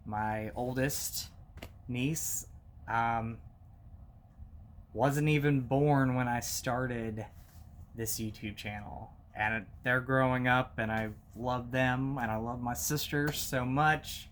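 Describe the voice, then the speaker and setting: A man in his thirties talks to his viewers in a slow, halting way, pausing often and starting over. His voice sits at an ordinary middle pitch, and the tone is sincere and personal, like a long-running host looking back. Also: steady tone, fairly bright tone, slight background noise. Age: 30s. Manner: halting, many fillers and restarts, heartfelt. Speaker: movie collector YouTuber. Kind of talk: casual personal vlog talk.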